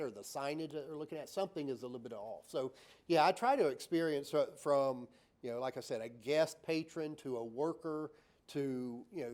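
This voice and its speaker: A man's voice: on the high side, a little mellow. Man explaining with lots of "right?". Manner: many fillers and restarts, tag "right?"; explains with acted-out examples. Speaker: designer on a panel. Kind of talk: answering panel questions.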